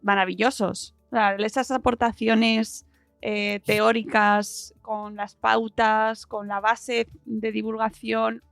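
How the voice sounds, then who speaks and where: A woman talks as an interviewer, approving and effusive in her wording. Her voice is fairly high and fairly even, with plenty of creak. Faint music sits behind her. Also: slow pace, no room sound. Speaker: podcast interviewer. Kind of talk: conversational interview.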